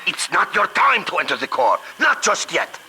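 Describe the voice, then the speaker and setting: A man's voice: high-pitched, big pitch swings, moderate pace. An actor playing a taunting, grandiose male video game villain with a theatrical, erratic delivery. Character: manic, taunting male villain obsessed with predictions. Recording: noisy game audio with background ambience.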